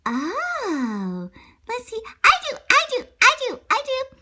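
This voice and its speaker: A woman's voice: very high voice, huge pitch swings, slow, pushed and bright, voice pushed up, heavily acted. A woman voicing a proud, emphatic little schoolgirl in a children's storybook. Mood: eager. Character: keen, proud little schoolgirl who grows cross.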